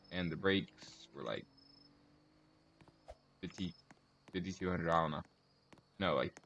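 A man with a low voice hosts a casual showcase video. He talks in short, halting phrases and corrects himself as he goes. The sound is dull and lo-fi, as from a basic computer microphone. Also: gravelly, fairly bright tone, slight background noise. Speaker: host of a car collection video channel. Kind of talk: casual video game car tour commentary.

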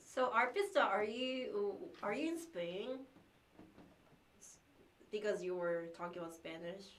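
A young woman whose first language is Korean, sick with the flu, chatting with livestream viewers in a small room, halting and restarting often with light laughs. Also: mid-range voice, fairly bright tone, a little hiss or hum. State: has the flu. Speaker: cellist streamer. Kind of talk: casual livestream chat reading viewer messages. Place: small practice room indoors.

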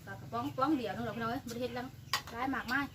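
A mother with an Isan accent, co-hosting a home eating vlog in a quick, chatty way. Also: on the high side, steady tone. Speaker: mother and co-host. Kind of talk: casual eating vlog chat. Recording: noisy outdoor phone recording.

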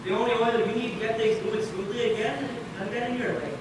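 A man's voice: very high-pitched, steady tone, quick pace. A young man plays a courteous old-time radio show host with a dry, bossy streak. He is heard from a distance on a very echoey stage, with noticeable noise behind him. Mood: showy announcing. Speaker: actor in a school one-act play. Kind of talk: stage acting of a 1940s-style radio show. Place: school auditorium stage, recorded from afar.

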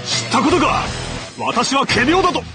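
A man's voice: extremely high pitch, wildly swooping pitch, normal speed, heavily acted. An actor playing a haughty, hot-tempered scheming strategist of ancient China in a video game, contemptuous and pompous as he scolds. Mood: indignant, scornful. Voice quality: theatrical, swinging from sneer to shriek. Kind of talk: theatrical video game voice acting. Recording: game music behind the lines.

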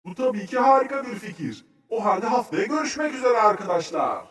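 A cheerful, studious cartoon sidekick with a high-pitched voice. The delivery is eager and enthusiastic, with an echoey sound set a little back from the mic. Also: male voice, expressive, fairly quick, fairly bright tone, faint music behind. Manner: enthusiastic, recapping. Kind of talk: children's educational cartoon dialogue. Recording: echoey, slightly distant.

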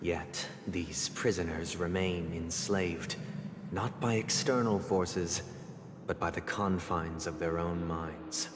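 A man's voice: low voice, steady tone, takes it slowly, background noise. An actor playing a grand male ruler in a video game, speaking in a lofty, declamatory way. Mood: solemn. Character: grand, solemn male lord proclaiming his rule. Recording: game audio with long reverb and music.

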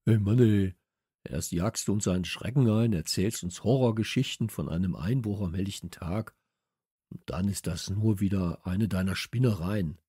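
Casting: loud slangy lad for children's story, husky, teasing male reader performing. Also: deep voice, moderate pace, no room sound, studio quality. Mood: grumbling. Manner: blunt, exclamatory, slangy. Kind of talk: children's audiobook character voice.

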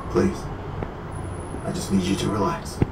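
Courteous, familiar physician, played by a man, low register, echoing audio drama scene. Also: completely flat delivery, unhurried. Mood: soothing, informal. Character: courteous male doctor, familiar and unhurried authority. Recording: echoey, with clear vehicle noise behind.

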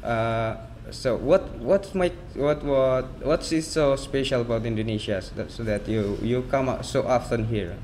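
A male interviewer on a headset asking hesitant questions over a video call, with halting restarts. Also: medium pitch, a little mellow, slight background noise. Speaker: interviewer, host.

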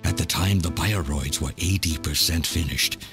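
An actor playing an elderly, obsessed scientist villain in a video game, delivering a bitter, deliberate, theatrical confession. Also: male voice, extremely low pitch. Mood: bitter, grave. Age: older. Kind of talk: dramatic video game voice acting, monologue. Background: game music.

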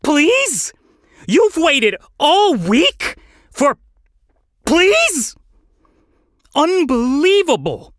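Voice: high-pitched, wildly swooping pitch, takes it slowly, long pauses, a bit bright, dry recording, clean studio sound, voice pushed up, exaggerated voice. A narrator voicing an irritable, desperate male bird in an audio story, snappish and exclaiming. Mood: outraged. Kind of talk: audio fiction character voice.

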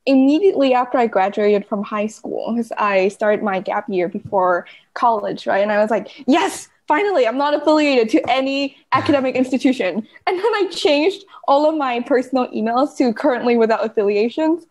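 A young woman from Vietnam, a climate journalist guest, telling stories in a casual, giggly, animated way. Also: a little high, normal speed, hardly any pauses. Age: young adult. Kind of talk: casual interview chat.

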